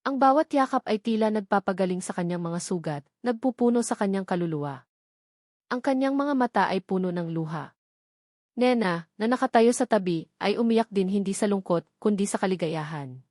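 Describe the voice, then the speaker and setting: A woman's voice: medium pitch, a little mellow, no room sound, clean studio sound. A woman with a Filipino accent reading a dramatic scripted love story as its narrator.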